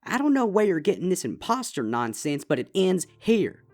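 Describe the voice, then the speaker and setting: A warm-hearted but firm Southern farm mare, as a man puts her on, with a folksy drawl and a voice that swings up and down. Faint music plays underneath. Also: sits high, very animated, normal speed, pitched above the performer's own voice. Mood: stern. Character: kind but stern young farm mare, big sister.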